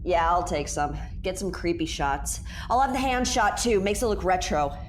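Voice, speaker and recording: A woman's voice: fairly low pitch, expressive, moderate pace, slight background noise, close to an everyday voice. A woman playing a playful, teasing space explorer and ghost show host in an audio drama.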